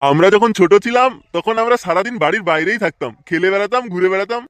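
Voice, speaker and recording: Man voicing a high-pitched grumbling cartoon villager. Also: expressive, fast talker, a bit bright, dry recording. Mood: wistful grumbling. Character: grumbling village man reminiscing about childhood. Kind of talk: amateur comic cartoon dubbing. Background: faint music.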